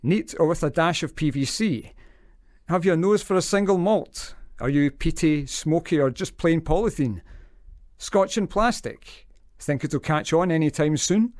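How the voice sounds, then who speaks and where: An actor playing a formal radio newsreader in an audio drama, reporting in a scripted bulletin style. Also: male voice, on the high side, a little slow, darkish tone, no echo, clean studio sound, lightly acted. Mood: formal, composed. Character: formal male radio newsreader, easily flustered. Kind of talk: news reading in audio drama.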